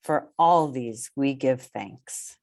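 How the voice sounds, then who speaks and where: A woman with a low voice reads poetry aloud on a video call. She moves through the lines very slowly. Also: gravelly. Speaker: theatre company guest. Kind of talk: poetry reading.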